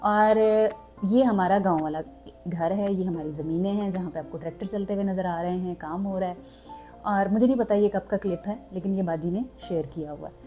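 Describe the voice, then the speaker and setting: Young woman vlogging, chatty, drops in english words, warm mellow tone, faint music behind. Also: on the low side, brisk. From Pakistan, southern Punjab. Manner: chatty, mixes in English words, frequent fillers. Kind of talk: casual chat to subscribers.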